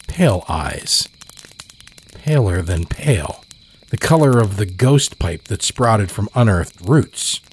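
A male storyteller reads horror in a low, very unhurried voice that swings expressively in pitch. His phrasing is deliberate and suspenseful, with a faint bed of crickets underneath. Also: slight background noise. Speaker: storyteller, narrator. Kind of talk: dramatic scripted horror narration. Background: crickets and insect ambience.

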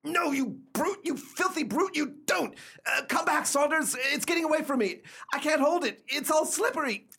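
A man acting in a radio play as a nervous, imperious gentleman living in terror, theatrical and fretful. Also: animated, moderate pace, creaky, full character voice. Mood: panicked, shrieking. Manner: theatrical, high-strung, fretful.

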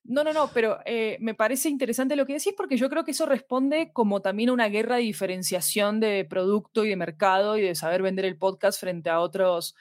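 A woman's voice: medium pitch, brisk, hardly any pauses, dry recording, clean studio sound. A woman with a Latin American Spanish accent talking conversationally as an interview guest, explaining her thoughts and often checking for agreement. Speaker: podcast guest, fiction podcast producer. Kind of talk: conversational interview about audio fiction.

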